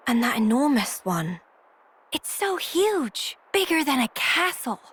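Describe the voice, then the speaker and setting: An actress playing a wide-eyed, excitable youngster in a video game cutscene. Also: female voice, sits high, unhurried, husky, heavily acted. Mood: awed.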